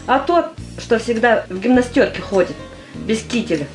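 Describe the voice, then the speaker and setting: A woman's voice: fairly high pitch, lively intonation, moderate pace, soft background music, close to an everyday voice. A woman playing a naive, somewhat baffled young army girl recalling service in an amateur audio play.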